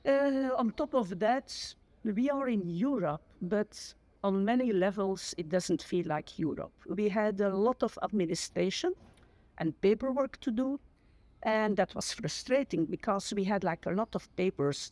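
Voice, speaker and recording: A retired teacher in her sixties from Flanders, a Dutch native with a Flemish accent, giving an earnest personal account and often pausing to search for words. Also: mid-range voice, animated, darkish tone, no echo. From Flanders, Belgium. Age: 60s. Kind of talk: personal testimony to camera.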